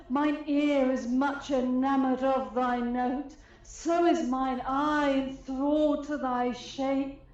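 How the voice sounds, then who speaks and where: Woman playing an echoey, high-voiced regal fairy queen. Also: darkish tone. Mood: enchanted, adoring. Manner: stately, declaimed verse. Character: enamoured regal fairy queen, commanding and sensual. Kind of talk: Shakespearean radio play acting. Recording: echoey, slightly dull audio, music behind.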